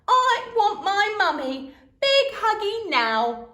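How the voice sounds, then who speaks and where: A woman's voice: very high voice, very animated, sharp tone, roomy sound, pitched above the performer's own voice, exaggerated voice. A woman reading a storybook and voicing a wailing, demanding toddler who drags out each word. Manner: wailing, drawn-out, shrieking.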